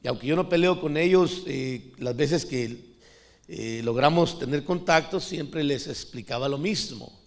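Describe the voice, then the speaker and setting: A middle-aged man from Costa Rica preaches to his congregation in an easy, conversational manner. His voice sits a little higher than most men's, and he keeps turning to the listeners as brothers. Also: measured pace, gravelly. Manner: conversational preaching, often adds "hermano". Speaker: pastor. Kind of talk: sermon.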